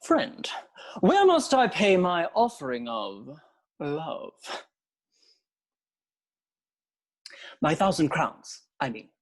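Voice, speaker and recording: A woman's voice: low-pitched, big pitch swings, takes it slowly, heavily acted. A woman playing an ardent, hot-tempered young nobleman in a period comedy, speaking in grand archaic phrases. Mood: haughty, threatening. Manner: grand, declamatory, archaic speech. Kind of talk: period stage comedy audio drama.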